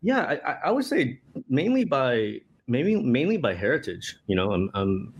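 A man's voice: medium pitch, sing-song, normal speed, husky. A man in his thirties, born in Vietnam, talking casually as an interview guest, with many fillers and restarts. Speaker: guest, tea company co-founder.

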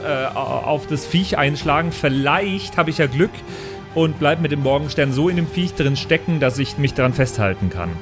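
Higher-voiced man playing a tabletop game. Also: expressive, normal speed, over music. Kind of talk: casual, improvised game-table chat.

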